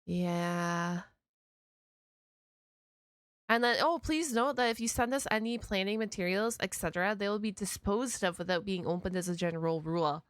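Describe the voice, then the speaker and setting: A woman hosting a reaction video with casual, expressive commentary full of exclamations and slang. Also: medium pitch, moderate pace, clean tone, dry recording, studio quality.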